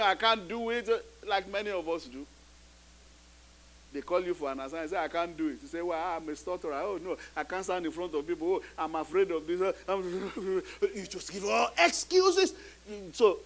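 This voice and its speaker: A man's voice: high voice, a bit bright. A middle-aged man, a pastor whose first language is not English, preaching a sermon with emphatic, repeated phrases that build. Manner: preaching with rising emphatic repetitions.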